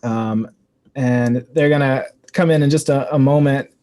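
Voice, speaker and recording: A man from North Carolina hosts a festival chat with a warm, mellow tone. He asks long, meandering questions over a video call. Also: mid-range voice, a little slow. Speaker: festival host. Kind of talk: hosting a festival talk.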